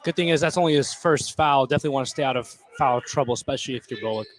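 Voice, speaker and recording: A man's voice: mid-range voice, rapid-fire. An American man giving casual live analysis as a basketball color commentator. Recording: noisy gym ambience behind voice.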